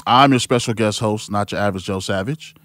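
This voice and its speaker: A man's voice: fairly low pitch, a little fast, a bit bright, no echo. A man hosting a casual interview, hyped and laid-back, calling people "man". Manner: hype, laid-back, says "man" a lot. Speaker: guest host.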